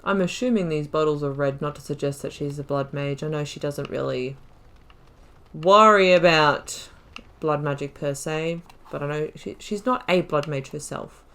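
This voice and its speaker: A woman's voice: low voice, normal speed, fairly bright tone. A woman giving casual let's play commentary as a gaming YouTuber, chatty and musing as she thinks aloud.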